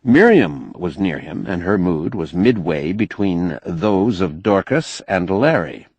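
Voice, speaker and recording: Deep-voiced male audiobook narrator, very lively intonation. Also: slow, husky, no room sound. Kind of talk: scripted narration of a science fiction novel.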